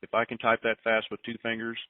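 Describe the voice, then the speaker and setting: A man's voice: medium pitch, monotone, fast talker, dry recording. Folksy man hosting over a tinny phone line. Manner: folksy, disorganized, thinks aloud while searching notes. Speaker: conference call host. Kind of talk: informal, rambling announcements to listeners on a group call. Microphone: telephone.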